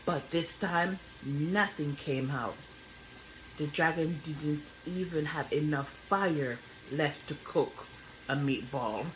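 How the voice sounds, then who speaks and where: A woman reading a children's bedtime story aloud as an expressive narrator. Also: low voice, slow, slight background noise, rough recording.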